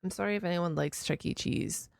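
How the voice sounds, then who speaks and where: A woman hosting a reaction video in a casual, chatty style, expressive and quick to interject. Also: lowish voice, vocal fry, no echo, clean studio sound. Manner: expressive, exclaims and interjects often. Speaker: host of a reaction channel.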